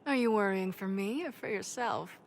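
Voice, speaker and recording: Woman playing a wry, mid-pitched dwarf merchant. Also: normal speed, creaky, a little hiss or hum, close to an everyday voice. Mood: playful. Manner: breezy, teasing. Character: confident wry female dwarf merchant, playful and teasing. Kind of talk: video game cutscene acting.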